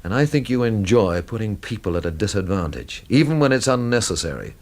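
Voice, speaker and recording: Deep-voiced, world-weary, sardonic drinker. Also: male voice, big pitch swings, a little fast, a little mellow. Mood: dry, defiant. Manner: dry, sardonic, literate phrasing. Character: world-weary, wry hard-drinking male storyteller, brooding and sardonic. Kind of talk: radio drama narration and dialogue.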